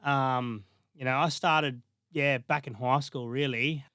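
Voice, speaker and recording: A bodybuilder, talking casually in an interview, laid-back with frequent fillers. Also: male voice, mid-range voice, fairly even intonation, slow, sharp tone, dry recording, clean studio sound. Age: 30s. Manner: laid-back, lots of fillers like "you know" and "man".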